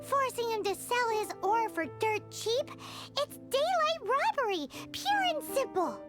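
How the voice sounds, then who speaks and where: Game fairy companion: childlike, chirpy, very animated, squeaky, music underneath. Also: female voice, a little slow, exaggerated voice. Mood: indignant. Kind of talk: video game voice acting.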